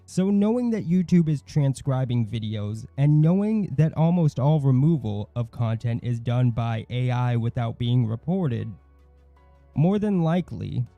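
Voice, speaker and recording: A man's voice: medium pitch, vocal fry, dark tone, dry recording, dull audio. A man hosting an online channel, reading scripted commentary in a snide, mocking manner. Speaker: YouTube channel host.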